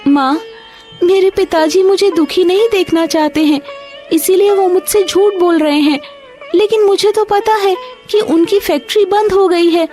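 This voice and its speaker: A woman playing a sweet, caring, devout young daughter in an animated moral story, with a childlike, earnest manner. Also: very high voice, normal speed, soft background music. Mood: tearful pleading.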